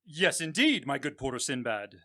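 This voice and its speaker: An actor playing a boastful, adventurous sailor captain in a theatrical radio drama, speaking in a grandiose, declamatory way. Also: male voice, medium pitch, big pitch swings, normal speed, sharp tone. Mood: self-satisfied.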